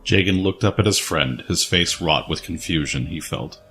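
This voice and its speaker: A man with a deep voice narrates a dramatic story. His delivery is serious and measured, as scripted fiction, with music playing underneath. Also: a bit bright.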